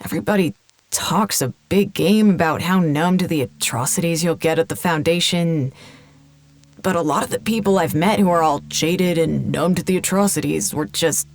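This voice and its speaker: A woman's voice: fairly low pitch, normal speed, close to an everyday voice. A woman acting in an audio drama as a jaded, candid woman, musing frankly and dryly. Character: jaded, candid woman numbed by endless catastrophe. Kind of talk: naturalistic audio drama monologue.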